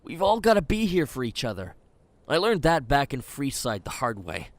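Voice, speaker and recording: A man's voice: medium pitch, huge pitch swings, moderate pace, no echo. A man playing a cocky young wasteland companion who talks earnestly and speaks his mind. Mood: concerned.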